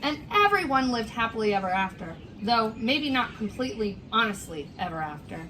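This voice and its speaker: Woman teacher, lively and emphatic read-aloud, highish pitch, faint noise behind. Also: brisk, rough recording. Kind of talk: animated children's story read-aloud with banter.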